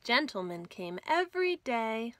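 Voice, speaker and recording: A woman in her thirties reading picture books aloud as a storytime host, expressive and measured, as if for young children. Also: on the high side, dry recording. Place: small indoor room at home with bookshelves.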